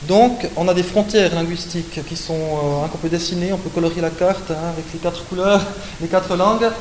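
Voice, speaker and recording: A man's voice: high-pitched, steady tone, brisk. A man from Switzerland giving an academic lecture, explaining points with frequent hein and euh and correcting himself as he goes. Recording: echoey room with faint hiss.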